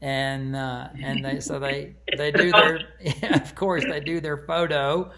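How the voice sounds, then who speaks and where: A man's voice: on the high side, flat delivery, slow pace, sharp tone. A man speaking as an author in casual, conversational commentary.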